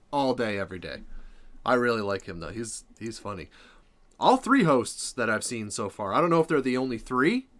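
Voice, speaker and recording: A man with an average-pitched voice hosts a reaction video. He chats informally and approvingly, circling back to repeat what he has said. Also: a bit bright. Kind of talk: casual reaction commentary.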